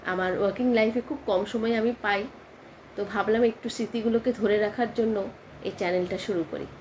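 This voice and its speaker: A woman narrating a casual family vlog, speaking informally and haltingly with English words mixed in. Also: medium pitch, fairly even intonation, slight background noise, low-quality recording. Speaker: family vlogger. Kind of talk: casual personal narration to viewers.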